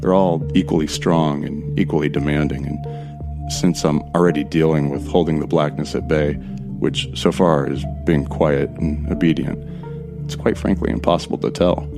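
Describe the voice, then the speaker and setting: Very deep-voiced actor as uneasy male storyteller. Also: steady tone, moderate pace, gravelly, music in the background. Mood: wary, descriptive. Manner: descriptive, confiding, colloquial with swearing. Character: male narrator-hero, uneasy, determined, wry explorer of haunted places. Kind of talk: first-person scripted audio drama narration.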